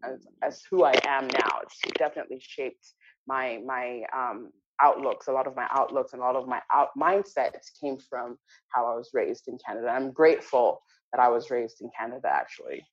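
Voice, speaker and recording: Interview guest: woman from Malawi, low voice, reflective and thoughtful, dull slightly lo-fi sound. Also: moderate pace, slight background noise. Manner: reflective, with frequent fillers and restarts. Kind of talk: casual interview conversation.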